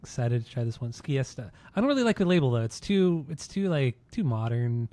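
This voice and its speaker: Chill podcast guy chatting with his buddy, mellow tone, dry sound. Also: medium pitch, sing-song, fairly quick, no echo. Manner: laid-back, joking, swears casually. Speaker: podcast co-host. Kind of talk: casual chat. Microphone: desk microphone on a mixer.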